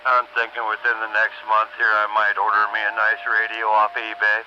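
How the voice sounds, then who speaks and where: An American man with a slightly low voice comes through a dull CB radio transmission full of noise. He chats casually as an operator, low-key and a bit halting, with plenty of slang. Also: monotone.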